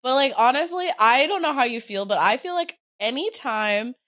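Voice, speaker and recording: A young woman chats as a podcast co-host, her voice a little raspy and drowsy from allergies. She talks in a loose, animated way, her pitch rising and falling widely and filling with "like." The tone is casual and friendly. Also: moderate pace. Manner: chatty, animated, says "like" often. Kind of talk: casual chat.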